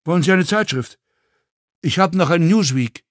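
A man's voice: fairly high pitch, lively intonation, normal speed, no echo, studio quality, voice pushed up, natural voice. A man voicing an intrusive, chatty male passenger in a story, rambling with hesitations and needling insults. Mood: pushy, chatty.

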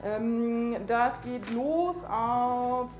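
A woman teaches a class in a reverberant classroom. Her voice is fairly high, and she goes slowly, halting often to find words. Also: a little mellow. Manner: hesitant, frequent pauses and fillers. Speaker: school German teacher. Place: school classroom. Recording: echoey classroom, faint music.

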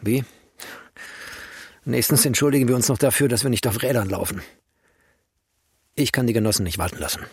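A hard party official with a husky, medium-pitched voice. He is curt, impatient and commanding, in radio drama style, recorded close and dry. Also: fairly quick, pauses often, no echo. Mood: curt, bitter. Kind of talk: German radio play acting.

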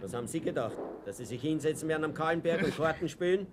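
A man's voice: a little high, animated, fast talker, natural voice. An actor playing a wry, slyly defiant Viennese man in a radio play, speaking with an Austrian accent and ironic, mock-polite manner. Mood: sardonic. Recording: noisy old radio play recording.

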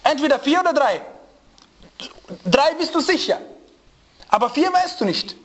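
Male religious teacher, high voice, emphatic, repeats points and quizzes his class. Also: very animated, moderate pace, a bit bright. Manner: emphatic, repeats key phrases, asks rhetorical questions; searches for German words and asks students. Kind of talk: religious lesson to students.

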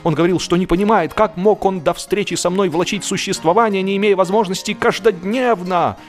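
Male narrator as lazy gentleman idler, raised pitch, high-flown declamation, savoured words, comic audiobook, faint music. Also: steady tone, a little fast, close to an everyday voice. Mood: lofty, rapturous. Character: idle male friend, grandly lofty, admiring others' toil.

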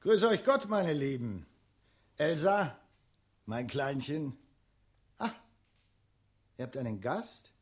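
Bullying, falsely jovial dragon, wildly swooping. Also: male voice, sing-song, slow pace, long pauses. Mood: jovial, imposing. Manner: booming, bullying, mock-affectionate. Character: jovial, menacing, lecherous dragon in human guise. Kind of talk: radio play acting.

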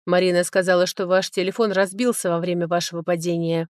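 A woman reading the lines of a calm, polite male caregiver in an audiobook novel, soft-spoken and reassuring. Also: on the low side, quick pace, no echo, studio quality, close to an everyday voice. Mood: calm, helpful.

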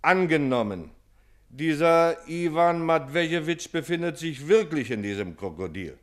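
An actor playing a pedantic, condescending male police commissioner in a radio play, officious and deliberate. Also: fairly high pitch, expressive. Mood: deliberate, probing.

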